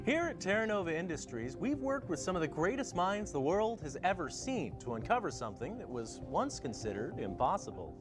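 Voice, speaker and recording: A man voicing a smooth corporate announcer for a promotional show, with a polished, salesy delivery. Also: fairly high pitch. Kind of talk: scripted corporate promo narration. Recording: music bed behind voice.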